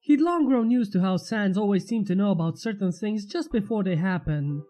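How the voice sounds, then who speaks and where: A woman's voice: mid-range voice, expressive, moderate pace, dark tone. A woman narrating fan fiction as a channel host, reading scripted prose expressively.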